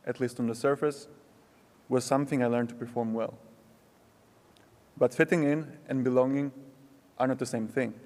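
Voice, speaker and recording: Young male student speaker, measured and earnest, with ceremony-hall echo. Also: mid-range voice, a little mellow. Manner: slow, measured, reflective delivery with pauses. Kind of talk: prepared commencement speech. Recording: long hall reverb.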